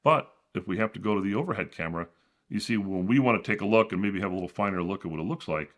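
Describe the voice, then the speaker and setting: A man with a deep, booming voice walks through his home studio setup. He explains casually and often restarts his sentences. The sound comes from a camera-mounted shotgun mic in a small room. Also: quick pace. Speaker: channel host. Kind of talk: studio tour walkthrough.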